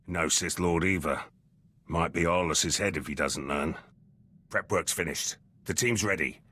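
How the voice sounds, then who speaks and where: An actor voicing a gruff, battle-hardened soldier in a video game, terse and blunt, speaking in clipped sentences. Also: male voice, deep voice, measured pace, fairly bright tone, exaggerated voice. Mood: gruff, eager. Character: gruff, battle-hardened male military commando, loyal and brash.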